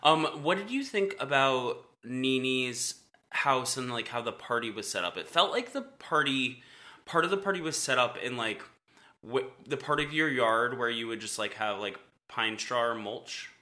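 A man co-hosting a casual podcast recap of a reality TV show, chatty and full of "like," with a naturally high voice and frequent laughs. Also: expressive, moderate pace, pushed and bright. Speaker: podcast co-host.

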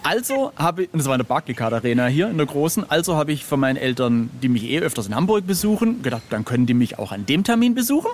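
A middle-aged man talking casually as an interview guest, enthusiastic like a devoted fan and often pausing mid-thought. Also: a little high, sing-song, a little hiss or hum. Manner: enthusiastic fan talk, halting. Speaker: interview guest, artist and author. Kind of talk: casual chat.

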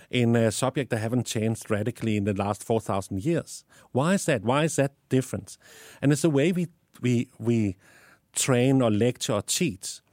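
A man's voice: medium pitch, a little mellow, dry recording, studio quality. A man from Denmark with a Danish accent, answering interview questions about teaching, often repeating words as he thinks. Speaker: guest, STEM educator.

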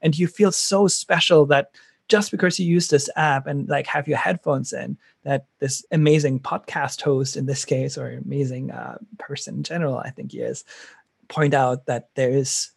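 A man's voice: medium pitch, fairly even intonation, moderate pace, gravelly, husky, no echo. A middle-aged man from Germany with a German accent, a startup founder talking enthusiastically in an interview, rambling with frequent restarts. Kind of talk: conversational interview.

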